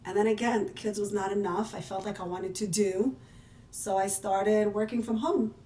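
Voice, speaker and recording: A woman's voice: mid-range voice, fairly even intonation, dark tone, slight background noise. Woman from Israel, roomy distant sound. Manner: chatty, self-deprecating, quick to laugh. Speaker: podcast guest, business owner. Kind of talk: casual conversational interview.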